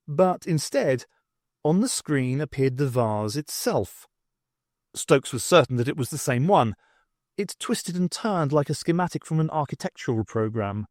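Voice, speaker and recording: Animated, higher-voiced man narrating a story. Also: no room sound, clean studio sound. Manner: animated storytelling with acted dialogue. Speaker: audiobook narrator. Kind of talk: expressive scripted narration of a novel.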